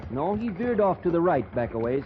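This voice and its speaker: An actor playing a polite, patient Mexican helper in an old radio western. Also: male voice, fairly high pitch, very animated, a little fast. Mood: reassuring. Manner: deferential, eager. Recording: muffled, noisy vintage radio with outdoor sounds.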